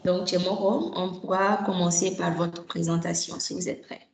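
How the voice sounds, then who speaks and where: A woman's voice: fairly low pitch, flat delivery, normal speed, vocal fry, echoey room, a little hiss or hum. A woman moderating a business webinar over a video call, speaking in a formal, polite and structured way. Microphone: video call microphone.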